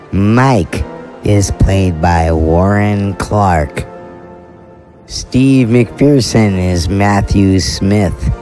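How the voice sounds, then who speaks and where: A man's voice: low-pitched, warm tone. A man announcing cast and credits in a scripted outro for an audio drama. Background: music.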